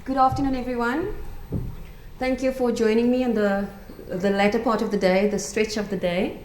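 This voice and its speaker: A woman's voice: on the high side, steady tone, moderate pace, a little mellow, a little hiss or hum. A woman in her forties with a South African accent, giving an earnest conference talk with frequent fillers and restarts. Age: 40s. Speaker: conference speaker. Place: conference room. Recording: echoey conference room.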